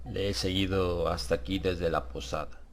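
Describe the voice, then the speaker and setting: A man voicing a mysterious, calm stranger in a horror audiobook, reassuring with a hint of command. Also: deep voice, moderate pace, vocal fry, a little hiss or hum. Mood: calm, enigmatic.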